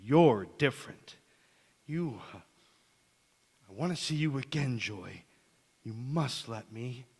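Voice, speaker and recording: A man playing a smitten, fickle young suitor in a radio drama, with a halting, earnest delivery. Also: mid-range voice, animated, darkish tone, slightly rough, full character voice. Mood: ardent. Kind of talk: stage radio drama acting. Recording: echoey church hall.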